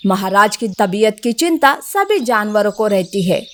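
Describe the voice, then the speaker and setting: A woman's voice: medium pitch, lively intonation, a little slow. A woman narrating a scripted children's story as a storyteller.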